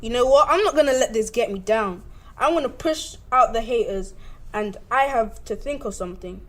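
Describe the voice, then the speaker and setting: A man's voice: very high voice, normal speed, fairly bright tone. A young male performer playing an upbeat, unlucky man in an amateur radio play. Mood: determined. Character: Bob, upbeat unlucky man turned comedian.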